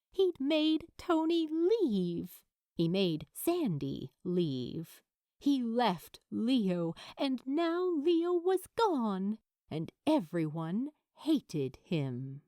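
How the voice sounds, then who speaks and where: A woman reading a story aloud as an expressive audiobook narrator, with dramatic, weighted pauses. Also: on the high side, no echo, studio quality. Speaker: fan fiction narrator.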